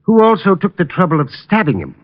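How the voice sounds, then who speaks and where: An actor playing a formal, deferential butler with a courteous, measured manner in an old-time radio drama. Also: male voice, high-pitched, wildly swooping pitch, full character voice. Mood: puzzled formality. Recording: dull vintage radio recording.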